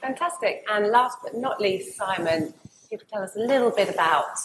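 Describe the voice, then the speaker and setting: A woman's voice: medium pitch, lively intonation, moderate pace, a little hiss or hum. Woman hosting a panel in an echoey room. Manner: upbeat, brief affirmations. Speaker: host, moderator.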